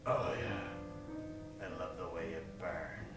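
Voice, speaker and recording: A man's voice: deep voice, slow. A man playing a boozy, down-on-his-luck private detective in a parody of 1940s radio drama, with tough-guy noir talk. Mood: boozy, wistful. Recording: echoey stage from far off, music behind.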